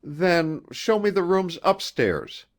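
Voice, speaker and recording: An anxious young wife from a gothic audiobook, as a male narrator puts her on. The voice lifts well above his usual pitch and swings widely. It is hesitant and puzzled, feeling its way through each phrase. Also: sing-song, exaggerated voice. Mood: disappointed, eager. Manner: hesitant, halting. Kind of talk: dramatised audiobook reading.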